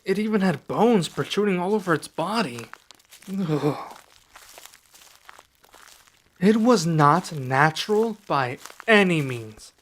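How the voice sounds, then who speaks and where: A young man playing a serious, guarded dragon adventurer in an ASMR roleplay, speaking softly and slowly with pauses. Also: high voice, expressive, full character voice. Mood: explaining. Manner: soft-spoken, measured, with pauses.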